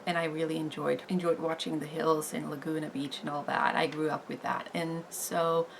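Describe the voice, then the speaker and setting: A Norwegian woman in her thirties with a Norwegian accent, chatting casually on her beauty channel, unhurried and full of "like" fillers. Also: sits low, vocal fry, a bit bright, a little hiss or hum, poor audio. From Norway. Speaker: beauty vlogger. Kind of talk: casual get-to-know-me chat.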